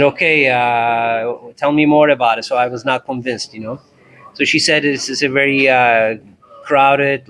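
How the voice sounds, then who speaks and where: A Turkish-born man in his forties talks about his life in dance. His storytelling is relaxed and reflective, and he acts out past conversations as he goes. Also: mid-range voice, poor audio. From Turkey. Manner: thoughtful, narrates in chapters, acts out dialogue. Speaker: tango instructor, interview guest.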